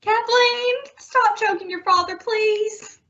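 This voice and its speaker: A woman playing a patient, gently worried wife and mother in an old-time radio comedy. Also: extremely high pitch, slow, heavily acted. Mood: concerned. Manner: tactful, gently chiding. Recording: muffled, very noisy recording.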